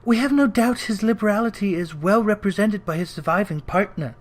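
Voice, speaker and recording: A storyteller voicing a polite, portly gentleman seeking charity in a classic storybook, formal and earnest. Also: medium pitch, a little fast, pitched above the performer's own voice, lightly acted. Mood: courteous. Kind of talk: dramatic storybook character reading.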